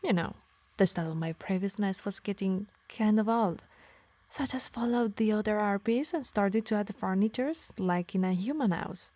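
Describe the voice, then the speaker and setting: Casting: doting, bossy harpy healer acted by a woman, medium pitch, scolds and teases, ASMR roleplay, dry close sound. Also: moderate pace, a little mellow, no echo, close to an everyday voice. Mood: teasing, fond. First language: Italian. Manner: teasing, scolding, sighing.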